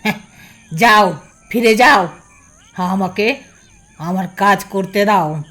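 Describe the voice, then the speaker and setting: A woman's voice: medium pitch, slow pace, fairly bright tone. A woman playing a haughty, defiant village sorceress with a taunting drawl, in a horror audio drama. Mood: scornful, defiant.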